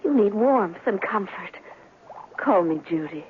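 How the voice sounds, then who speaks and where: An actress in a 1970s radio drama playing a devoted, encouraging lab assistant, earnest and precise. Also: female voice, mid-range voice, expressive, measured pace, phone-quality sound, natural voice. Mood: soothing, encouraging. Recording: thin vintage radio with faint noise.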